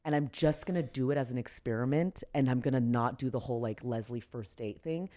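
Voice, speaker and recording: A woman with a deep voice, a comedian guest telling a story in casual podcast chat, relaxed and low-key. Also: a little fast. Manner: relaxed, low-key, acts out quotes with raised voice.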